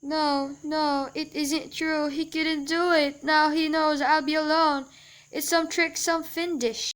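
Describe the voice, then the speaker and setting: A woman's voice: high voice, flat delivery, takes it slowly, fairly bright tone, slight background noise. A woman acting an anxious, demanding, self-pitying invalid wife in an amateur radio play, agitated and querulous. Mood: dismayed, fearful. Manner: agitated, querulous, exclamatory, repeating herself.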